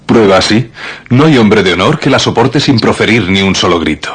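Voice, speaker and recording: A man's voice: lowish voice, expressive, a little fast, husky. An actor playing an imperious king passing judgment with regal pomp in a radio drama.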